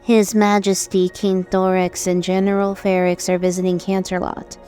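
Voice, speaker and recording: A woman playing a calm, unfazed, supportive female pony friend in a cartoon audio drama, over music. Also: medium pitch, normal speed, natural voice. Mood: calm, questioning. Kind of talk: animated audio drama acting.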